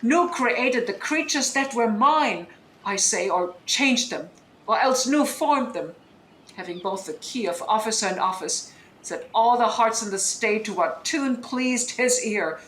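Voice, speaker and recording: A woman's voice: fairly high pitch, animated, a little slow, fairly bright tone. A woman playing a bitter, scholarly deposed duchess and sorceress mother in Shakespearean audio drama, speaking in a declamatory, emphatic way that demands attention. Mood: bitter, insistent. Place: indoor room, recorded over internet link. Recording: roomy remote recording, noise.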